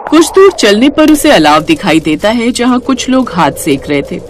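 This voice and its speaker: A woman's voice: medium pitch, very animated, moderate pace, slight background noise. A woman reading scripted narration for a moral cartoon story.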